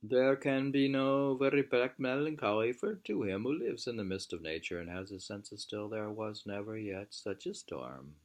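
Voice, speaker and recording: An American man reading a literary book aloud in an unhurried, musing manner, often pausing to repeat or mull over phrases. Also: lowish voice, expressive. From New England, United States. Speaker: reader.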